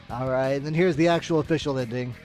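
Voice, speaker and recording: A man's voice: medium pitch, a little mellow. A man streaming games, giving casual reaction commentary and reading game text aloud.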